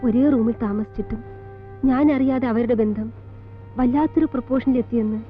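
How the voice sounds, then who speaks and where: An actress playing a composed middle-aged college teacher in a film drama, speaking in a measured Malayali accent with deliberate pauses between short phrases. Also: female voice, on the high side, lightly acted. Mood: sombre, reflective. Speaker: actor in a film. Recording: tinny band-limited old film soundtrack, music behind.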